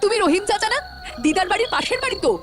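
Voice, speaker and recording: A woman voicing a nervous, protective village boy in an animated horror cartoon, hesitant and prone to stammering. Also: very high voice, rapid-fire. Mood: puzzled. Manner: hesitant, stammering when scared. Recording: music behind the voice. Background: music.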